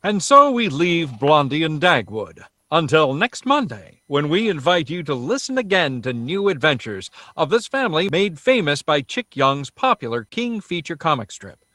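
Polished upbeat sponsor spokesman, lively higher-pitched delivery, dry sound, 1930s radio style male actor. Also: normal speed, dry recording. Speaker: announcer. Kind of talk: 1930s radio announcing and ad read.

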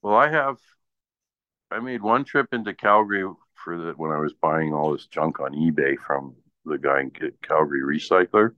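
A man's voice: rumbling low, normal speed. A man with a Canadian accent chatting casually in blunt, profane, exasperated anecdotes. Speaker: radio presenter. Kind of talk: casual chat, ranting anecdotes. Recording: dull lo-fi audio in echoey room.

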